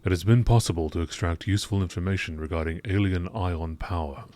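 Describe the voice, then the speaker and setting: A man reading scripted science-fiction lore in the measured, informative style of a nature documentary narrator. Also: low-pitched, darkish tone, no room sound.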